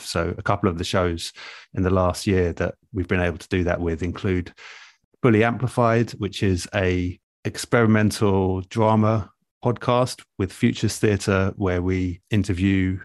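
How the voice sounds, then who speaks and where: Low-voiced, thoughtful man in conversation. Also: no echo, studio quality. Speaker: guest, radio and podcast producer. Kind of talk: relaxed interview conversation.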